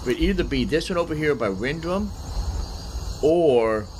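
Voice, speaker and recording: A man's voice: fairly high pitch, animated, measured pace, darkish tone, a little hiss or hum. A man giving casual gameplay commentary as a streamer, thinking aloud in a rambling way and often repeating himself. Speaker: gaming streamer.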